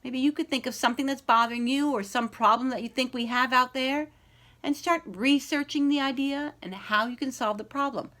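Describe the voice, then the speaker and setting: Female library read-aloud host, higher-pitched, expressive and encouraging with kids, leans on key words. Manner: dramatic pauses, stretched key words, encouraging. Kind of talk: expressive children's storybook read-aloud, then chat to young listeners.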